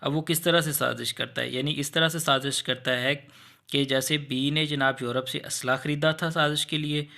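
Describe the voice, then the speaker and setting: A man's voice: fairly high pitch, fairly even intonation, normal speed, vocal fry, bright, forceful tone. A man with a Pakistani accent giving an informal explanatory law lecture, going step by step with examples and mixing in English legal terms.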